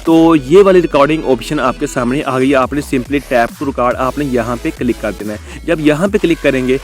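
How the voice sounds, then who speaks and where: A man's voice: medium pitch, moderate pace, soft background music. A man presenting a casual how-to tutorial, often addressing his viewers as friends. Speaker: host of a tech tutorial channel.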